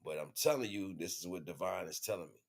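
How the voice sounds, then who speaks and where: A man's voice: sits low, animated, fast talker, creaky. An American man livestreaming an informal spiritual monologue to viewers, speaking in emphatic, repetitive, slangy street talk. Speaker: livestream host.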